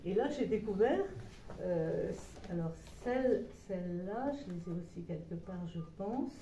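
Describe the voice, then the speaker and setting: A woman speaks as the guest at a book talk, telling her story in a rambling, hesitant way with many restarts. Her voice is deeply mellow and dark. It is recorded from a distance in a roomy space with a little background hum. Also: animated, measured pace. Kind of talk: informal book talk to a small audience. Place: meeting room with a live audience. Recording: roomy echo, voice far from mic.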